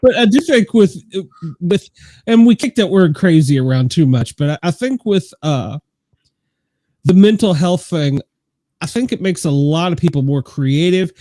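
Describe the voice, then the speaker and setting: A man's voice: high voice, expressive, normal speed, warm tone, dry recording. A man hosting a podcast in casual, conversational style. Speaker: podcast host. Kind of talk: casual chat.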